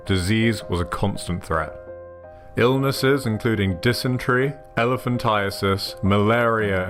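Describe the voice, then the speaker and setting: A man giving measured, formal scripted narration for a documentary. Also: lowish voice.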